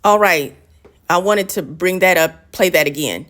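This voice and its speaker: A woman's voice: on the low side, measured pace, fairly bright tone. A woman hosting a reaction video, commenting on a clip in an emphatic, lecturing way and addressing the guest directly.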